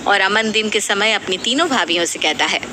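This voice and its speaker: A woman's voice: mid-range voice, expressive, brisk. Woman narrating a story over noise. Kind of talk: scripted moral story narration. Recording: clearly noisy background ambience.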